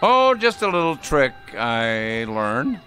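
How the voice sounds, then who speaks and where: An actor playing a kindly old man with secret magic tricks, courtly and gentle in a radio drama. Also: medium pitch, big pitch swings, takes it slowly, soft background music, exaggerated voice. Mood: fond. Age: older.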